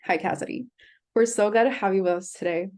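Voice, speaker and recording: A woman's voice: medium pitch, a little fast, darkish tone. A woman from El Salvador moderating a live online session, reading upbeat scripted introductions. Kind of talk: live webinar hosting.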